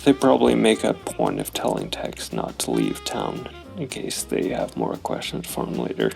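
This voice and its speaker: A man narrating his solo tabletop role-playing game, thinking aloud in a deliberate, analytical way. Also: very deep voice, huge pitch swings, vocal fry, a little mellow, faint music behind.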